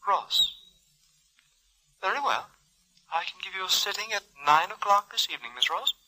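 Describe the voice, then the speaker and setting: Bright, grandiose fortune teller. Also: female voice, medium pitch, lively intonation, moderate pace, rough recording. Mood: grandly formal. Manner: theatrical, formal, mystical pronouncements. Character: grandiose female fortune teller, haughty, mystical, theatrical. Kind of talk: 1940s radio drama acting.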